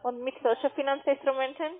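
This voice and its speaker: Woman cold calling, high voice, halting non-native phrasing, thin phone sound. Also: fairly even intonation, slight background noise. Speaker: cold-call telemarketer. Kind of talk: sales phone call. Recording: tinny narrowband phone line.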